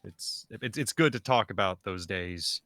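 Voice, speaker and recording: A man's voice: fairly low pitch, animated, measured pace, sharp tone, no room sound, clean studio sound. A man, a podcast guest talking in a hesitant, rambling way. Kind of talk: casual podcast chat.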